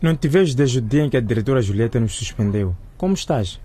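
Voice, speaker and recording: An earnest, sheepish teenage boy with a mellow, dark voice. He talks in an apologetic way in a radio play. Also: mid-range voice, lively intonation, fast talker, slightly rough. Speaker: radio drama actor. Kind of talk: radio drama acting.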